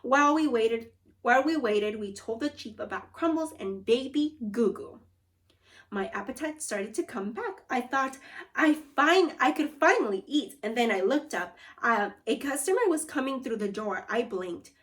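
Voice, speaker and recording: A woman's voice: fairly high pitch, expressive, moderate pace, a bit bright. A woman teacher reading a storybook aloud to young pupils and talking to them about it. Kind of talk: animated read-aloud and lesson talk to children.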